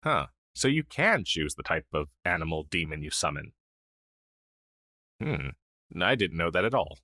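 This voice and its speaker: A man plays a gentle old male crow familiar, a wistful rogue demon in a fantasy ASMR roleplay. His voice is low and he talks very slowly, halting and sighing softly. The sound is dry and intimate. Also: very animated, no echo, heavily acted. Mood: intrigued. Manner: hesitant, halting, soft-spoken with sighs.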